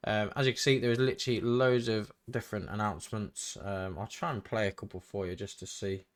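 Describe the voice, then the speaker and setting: A man giving a casual, hesitant software walkthrough, thinking aloud as he goes. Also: on the low side, fairly even intonation, a little fast. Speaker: tutorial host. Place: indoors, voice-over for screen recording.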